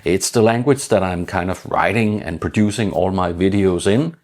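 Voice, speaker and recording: A middle-aged Danish man speaks English with a Danish accent in a low voice. He explains things in a measured, plain way, like an informal vlog host talking through his notes. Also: a little mellow. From Denmark. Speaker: channel host.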